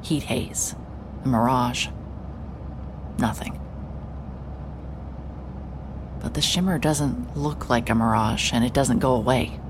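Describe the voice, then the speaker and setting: An actress playing a hard-hearted private investigator, narrating a noir audio drama in a terse, deadpan, brooding manner. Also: female voice, very deep voice, measured pace, background noise. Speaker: narrator and lead character. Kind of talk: first-person noir scripted narration.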